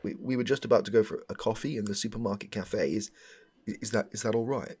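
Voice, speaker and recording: A man's voice: fairly even intonation, fast, darkish tone. A man playing a smitten, rambling suitor with a shady air in a comedic audio roleplay, stammering and overdramatic as he speaks to the listener. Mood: apologetic, eager. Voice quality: low, gravelly, husky. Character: smitten, rambling, darkly suspicious man meeting his crush.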